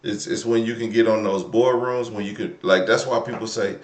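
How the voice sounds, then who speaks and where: A man with a deep voice and an American accent hosts a live call-in show. He speaks loosely and colloquially, circling back over his phrases as he thinks aloud. The room around him adds a clear echo. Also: fairly even intonation, a little fast. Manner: colloquial, slangy, repeats himself while thinking aloud. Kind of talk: casual, off-the-cuff live talk. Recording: roomy, echoing room sound.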